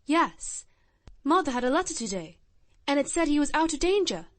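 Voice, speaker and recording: A woman's voice: high-pitched, big pitch swings, normal speed, no echo. A woman voicing a shy, blunt, earnest little boy of nearly ten in a storybook reading.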